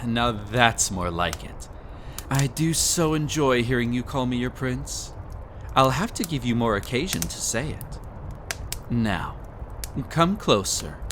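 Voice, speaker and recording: A man's voice: mid-range voice, background noise. A man playing a possessive, smug prince in a romantic audio roleplay, with a silky, condescending, theatrical delivery. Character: possessive, smug young prince with hypnotic magic.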